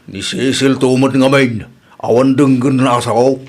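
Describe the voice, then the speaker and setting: A man's voice: medium pitch, level tone, normal speed, darkish tone, close to an everyday voice. A man acts an exasperated village dad in a radio comedy drama. His voice is mid-range with an Ilocano accent. He speaks plainly and reproachfully. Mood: resigned. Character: exasperated village father scolding his grown daughter.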